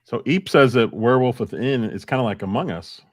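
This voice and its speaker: A man's voice: mid-range voice, animated, brisk, no echo, studio quality. A man co-hosting a casual live-stream chat, speaking conversationally and relaying comments.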